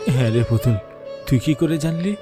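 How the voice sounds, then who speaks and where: A man's voice: mid-range voice, moderate pace, music in the background, lightly acted. A man voicing a kindly, proud village innkeeper in a fairy-tale cartoon, speaking in an earnest, heartfelt way. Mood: worried. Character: kindly village uncle, proud rice-hotel keeper with magic pot.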